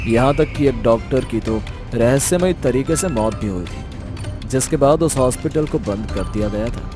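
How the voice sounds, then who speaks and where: Casting: male storyteller, mid-pitched, scripted first-person horror narration with clear music behind.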